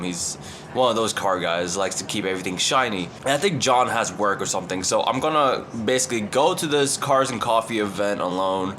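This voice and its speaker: A man's voice: fairly low pitch, fairly bright tone. Stuffy-nosed young man vlogging. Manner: rambling, many likes and you-knows. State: stuffy, nasal from a cold. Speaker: car vlogger. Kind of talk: casual vlog talk to camera. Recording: noisy background.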